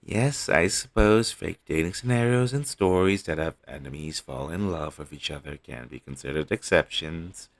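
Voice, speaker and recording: A man playing a caring, teasing talent manager, coaxing a troubled actor in a one-sided audio roleplay with a low-quality sound. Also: a little slow. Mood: teasing, probing. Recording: low-quality amateur recording.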